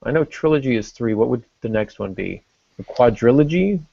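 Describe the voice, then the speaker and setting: A man's voice: mid-range voice, expressive, a little fast, gravelly, husky, no room sound, lo-fi sound. A man hosting a podcast, explaining casually and thinking aloud, with some joking along the way. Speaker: podcast host.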